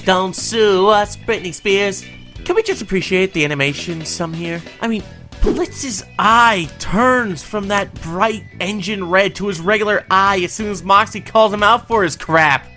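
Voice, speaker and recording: A woman's voice: mid-range voice, lively intonation, normal speed, sharp tone, soft background music. A woman co-hosting a fan recap, giving semi-scripted episode commentary in an emphatic, theatrical way.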